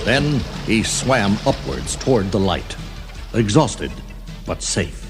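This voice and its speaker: Low-voiced man narrating an adventure storybook. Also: expressive, slow pace. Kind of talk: scripted children's adventure narration. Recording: 1980s storybook cassette with music underneath. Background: background music.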